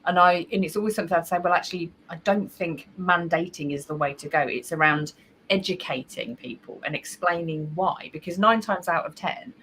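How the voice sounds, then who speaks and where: A woman's voice: lowish voice, a little fast, a bit bright, slight background noise, low-quality recording. A woman speaking on a panel over a video call, persuasive and emphatic with lots of casual fillers. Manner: emphatic, persuasive, uses "like" and "you know".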